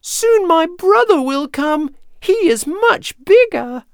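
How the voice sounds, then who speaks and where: Small, timid storybook goat, pitched way up into a tiny squeak. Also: male voice, big pitch swings, slow pace, vocal fry, no room sound, clean studio sound, heavily acted. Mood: pleading. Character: tiny, timid, young male billy goat. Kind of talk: children's storybook character voice.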